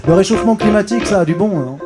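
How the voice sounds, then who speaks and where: A man's voice: sits high, expressive, rapid-fire. A man performing a spoken song as a singer-songwriter. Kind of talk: spoken song over acoustic guitar. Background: plucked guitar music.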